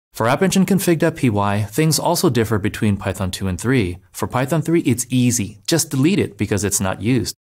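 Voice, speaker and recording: A man giving a scripted, step-by-step narration of a software migration tutorial, speaking clearly and steadily as a voice-over. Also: lowish voice. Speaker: developer advocate. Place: voice-over over screen recordings.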